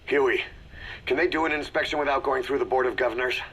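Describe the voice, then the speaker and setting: An actor playing a gruff, stoic middle-aged military commander in a video game, terse and commanding, with a gravelly voice. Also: male voice, mid-range voice, steady tone, fast, a bit bright, rough recording.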